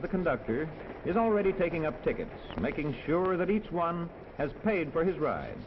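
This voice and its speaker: Man, 1940s educational narration, fairly high voice, measured and instructional, muffled hissy soundtrack. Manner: clear, measured, instructional delivery. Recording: muffled old film soundtrack with hiss.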